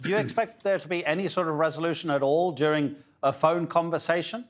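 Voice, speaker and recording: A man's voice: on the high side, fairly even intonation, a little fast. A man anchoring a live news broadcast, putting questions to a guest in a conversational way.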